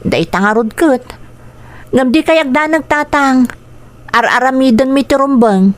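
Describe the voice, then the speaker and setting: Radio drama: earnest female worker and daughter, Ilocano accent, plaintive delivery, higher voice. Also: unhurried. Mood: earnest, apologetic. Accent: Filipino, Ilocano. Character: earnest female employee, dutiful daughter, aggrieved over pay.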